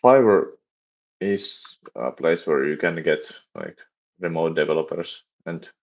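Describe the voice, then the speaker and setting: Gravelly low-voiced Finnish man. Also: dull audio. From Finland. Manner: halting, many restarts and fillers. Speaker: livestreaming startup developer. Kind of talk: casual live stream chat.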